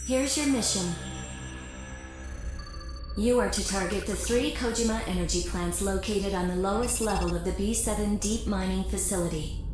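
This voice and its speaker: An actress playing a composed military operator, giving a formal, procedural mission briefing for a mech game. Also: female voice, medium pitch, natural voice. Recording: very echoey, music underneath.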